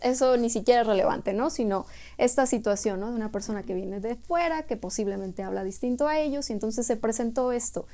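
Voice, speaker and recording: Woman agency head, earnest explainer, mellow tone, pitched a bit high. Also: brisk. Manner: earnest, explanatory, frequent tag questions. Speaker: UN refugee agency office head. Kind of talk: interview answers. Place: office.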